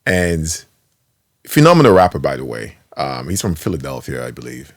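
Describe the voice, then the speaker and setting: A man hosting a casual interview podcast, talking with enthusiasm, quick to laugh and swinging between loud and soft. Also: very deep voice, creaky, no echo, studio quality. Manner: animated, laughs easily, enthusiastic. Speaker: podcast host.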